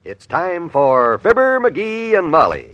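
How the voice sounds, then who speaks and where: Animated American radio announcer over loud music. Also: male voice, high voice, takes it slowly. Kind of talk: 1950s radio show announcing. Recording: muffled old radio broadcast.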